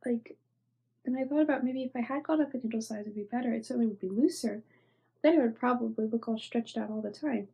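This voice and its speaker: A woman's voice: fairly high pitch, fast, gravelly, warm tone, breathy edge. A young woman hosting a knitting podcast, stuffy from a cold, rambling casually with many fillers and often trailing off into near-whispers. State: stuffy, congested. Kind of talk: casual show-and-tell chat.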